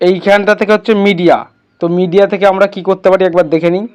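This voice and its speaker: Male tutorial host: high-pitched, smooth clear voice, casual and instructional, step by step. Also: flat delivery, rapid-fire, a little mellow. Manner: instructional, addresses viewers as friends.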